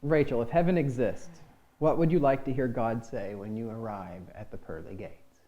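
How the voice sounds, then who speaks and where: A man's voice: mid-range voice, moderate pace, warm tone, muffled recording. A man hosting an informal panel interview, putting questions to his guests in turn. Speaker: interview host.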